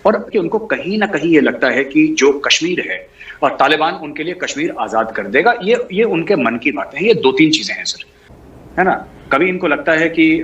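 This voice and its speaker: A man's voice: on the high side, a bit bright, highs cut off. A man giving political commentary as a guest, emphatic, piling up rhetorical questions and repeated lists. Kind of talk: political discussion, answering a host. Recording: clearly noisy background.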